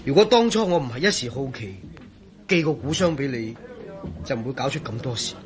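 An actor playing an earnest male engineer in a Cantonese radio drama, with a Hong Kong accent and an emphatic, expressive way of talking. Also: medium pitch, brisk, crowd noise, lightly acted. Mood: regretful. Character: earnest, regretful male engineer in charge of waterworks.

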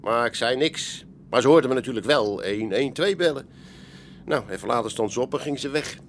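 An actor playing a chatty, unbothered Amsterdam café owner in a radio play, colloquial and knowing, with hesitant asides. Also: male voice, mid-range voice, expressive, fairly quick, slight background noise, lightly acted. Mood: explaining. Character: male Amsterdam pub landlord, streetwise, chatty, unbothered. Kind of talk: Dutch radio play acting.

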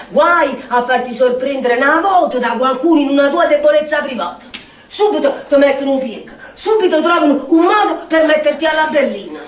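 A stage actress playing a bitter, mocking, eccentric slum outcast in a rambling monologue with a Neapolitan accent. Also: female voice, sits high. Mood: aggrieved. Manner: rambling, muttering, sardonic, swinging into chant. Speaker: stage actor. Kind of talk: theatrical monologue in dialect. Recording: roomy distant stage recording with noise.

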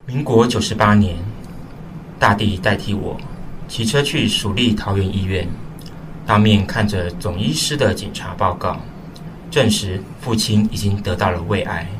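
A man's voice: lowish voice, normal speed, background noise. A man reading scripted narration for a radio drama. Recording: distant voice under loud music.

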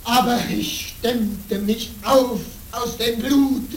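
An actor playing a tormented, exhausted monk in a radio play, lamenting in a drawn-out, declamatory way. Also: male voice, very high voice, fairly even intonation. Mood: anguished. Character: tormented penitent monk, anguished, exhausted, driven. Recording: echoing cave-like space, distant, faint noise.

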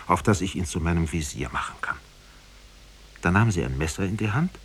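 A man's voice: low voice, a little hiss or hum. A man narrating a fairy tale in a solemn, dramatic 1950s radio storytelling style.

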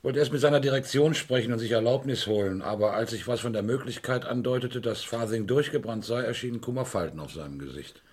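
An actor playing a brisk, sceptical male police inspector in a German radio crime play, questioning in a curt, dry, probing way. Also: mid-range voice, a little mellow, breathy edge, close to an everyday voice. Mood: dry, pondering.

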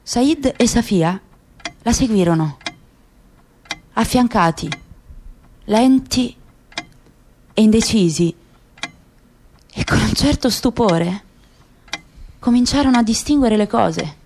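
Casting: female radio drama narrator, highish voice, literary storytelling delivery, noisy clicky recording. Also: expressive, slow, pauses often, a little mellow. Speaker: actor reading in a radio play. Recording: clear background noise with clicks.

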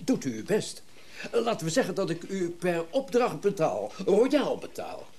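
An actor playing a wealthy, self-important businessman and collector in a Dutch radio drama, brisk and commanding. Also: high-pitched, huge pitch swings, darkish tone, full character voice. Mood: eager, commanding.

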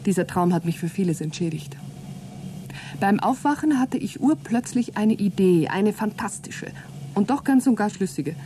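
A woman's voice: medium pitch, expressive, normal speed, warm tone, slight background noise, close to an everyday voice. A woman acting an earnest, hopeful astronaut in a German radio play, speaking in an articulate, reflective way. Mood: reflective, excited.

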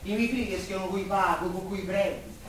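In a noisy, heavily reverberant theatre, an unlettered working-class Roman with a high voice. He grumbles in a thick local Roman accent, comic and naive, as in a wartime stage play. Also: fairly even intonation, normal speed, a bit bright. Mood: proud, defiant. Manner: plain-spoken, comic, grumbling. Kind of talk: stage comedy-drama acting. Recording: very echoey, noisy, distant stage recording.

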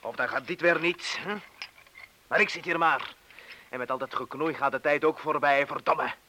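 A man's voice: a little high, normal speed, pushed and bright, slight background noise. An actor playing a frightened, emotional man stuck beside a bomb in a radio play. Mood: desperate, accusing. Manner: emotional, swinging between subdued and pleading. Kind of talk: Dutch radio play acting.

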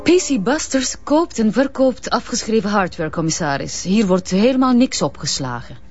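An actress playing a brisk, self-important businesswoman with a Flemish accent, sprinkling in affected English jargon, in a naturalistic radio drama. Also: medium pitch, big pitch swings, darkish tone, dull audio, faint music behind, close to an everyday voice. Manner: hesitant ehs, affected English business words.

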